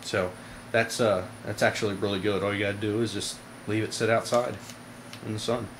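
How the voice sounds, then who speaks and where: A man giving a casual hands-on product demonstration to viewers, informal and halting, with frequent pauses. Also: on the low side, level tone. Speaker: product reviewer, video host. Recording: noisy amateur camera audio.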